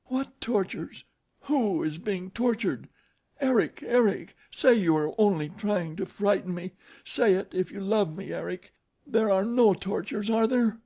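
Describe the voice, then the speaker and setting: A man's voice: a little slow, dark tone, heavily acted. A man voicing a frightened young woman who pleads anxiously in an audiobook. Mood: pleading. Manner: pleading, anxious, breathy high falsetto. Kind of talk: audiobook character voice.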